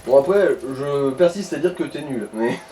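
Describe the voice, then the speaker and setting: A man's voice: medium pitch, animated, normal speed, mellow, slight background noise. A man chatting casually about a video game as co-commentator, blunt and teasing with his friend. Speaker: co-commentator watching a friend play. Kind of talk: casual gaming chat.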